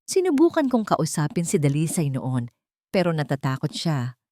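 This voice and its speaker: A woman's voice: sits low, huge pitch swings, normal speed, mellow, dry recording, studio quality. A woman with a Filipino accent reading a scripted dramatic story as its narrator.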